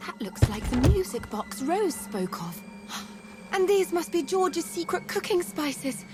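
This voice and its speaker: An anxious young mystic pleads earnestly in a high voice. Worry makes her words tremble. Clear music plays under her. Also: big pitch swings, unhurried, exaggerated voice. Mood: pleading. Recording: game cutscene with music.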